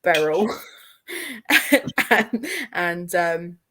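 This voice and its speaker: A woman with a slightly low voice chats casually about books. Her phrasing is informal and full of slang, as in a relaxed reading group. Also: extremely slow, a little hiss or hum. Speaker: participant in a live book readalong discussion.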